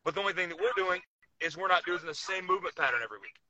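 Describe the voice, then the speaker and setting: A man's voice: sits high, expressive, very fast, bright, forceful tone, dry recording. A man co-hosting a casual talk podcast, speaking in an emphatic, opinionated way and repeating himself to drive points home. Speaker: co-host, powerlifting coach.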